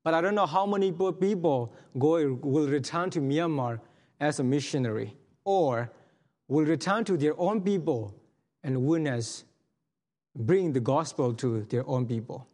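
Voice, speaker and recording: A man's voice: on the high side, animated, darkish tone. Earnest man preaching in a hall. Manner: earnest, halting, many restarts and fillers. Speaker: missionary speaker. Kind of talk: sermon testimony. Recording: long hall reverb.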